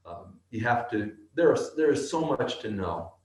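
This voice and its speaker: A male actor with a deep bass voice, answering interview questions slowly and thoughtfully, with many pauses and restarts. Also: animated, roomy sound, low-quality recording. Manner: slow, thoughtful, halting.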